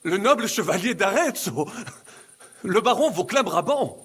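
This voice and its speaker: Slippery French nobleman, high-pitched and husky. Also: big pitch swings, moderate pace, a bit bright, exaggerated voice. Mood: ingratiating. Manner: theatrical, wheedling, peppers speech with French phrases. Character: slippery, treacherous French nobleman, smooth-talking and scheming. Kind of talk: dramatic video game acting. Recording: music underneath the dialogue.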